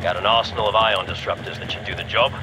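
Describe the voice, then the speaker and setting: An actor playing a gruff, hearty clone army commander giving soldierly orders in a video game. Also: male voice, fairly low pitch, fairly even intonation, fast talker, close to an everyday voice. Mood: urgent briefing. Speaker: military commander. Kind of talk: video game mission briefing. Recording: battle noise behind.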